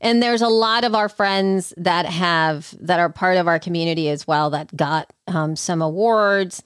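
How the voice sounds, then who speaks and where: A woman's voice: on the low side, unhurried, smooth, no room sound, clean studio sound. A woman chatting casually as a podcast host, with an enthusiastic, emphatic way of talking.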